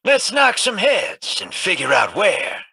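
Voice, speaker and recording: A man's voice: high-pitched, wildly swooping pitch, measured pace, gravelly, pushed and bright, a little hiss or hum, exaggerated voice, poor audio. An actor playing a cocky, wry male ally in a video game, teasing and offhand.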